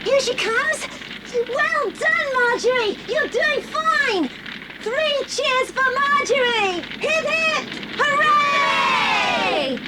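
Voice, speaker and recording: A woman with an English accent playing an excitable schoolgirl in a children's audio drama, full of exclamations. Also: very high-pitched, drawn-out words, a crowd in the background, noisy background, heavily acted. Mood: excited cheering.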